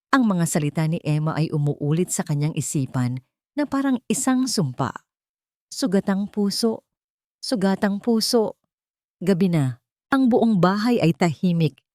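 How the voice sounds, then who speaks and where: A woman with a Filipino accent narrating a dramatic audiobook story in a measured, expressive way, phrase by phrase. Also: on the low side, dry recording, studio quality.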